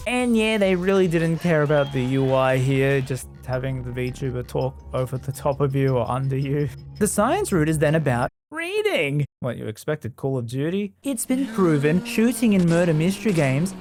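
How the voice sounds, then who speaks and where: A man's voice: on the high side, sing-song, normal speed, few pauses, a little mellow. A man delivering a casual, humorous scripted video game review voice-over, with joking, sarcastic asides. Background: background music.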